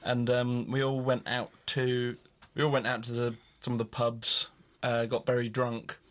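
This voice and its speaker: A man's voice: mid-range voice, completely flat delivery, measured pace. A man co-hosting a podcast, chatting casually and telling a jokey story. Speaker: podcast co-host. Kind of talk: casual chat.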